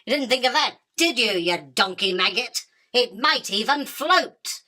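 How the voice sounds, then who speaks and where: A woman's voice: fairly high pitch, animated, measured pace, bright, forceful tone, heavily acted. A woman playing a haughty, self-absorbed, hungry spider queen in a radio drama, speaking in an imperious, dismissive and self-justifying way. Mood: haughty, scornful. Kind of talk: theatrical radio drama acting.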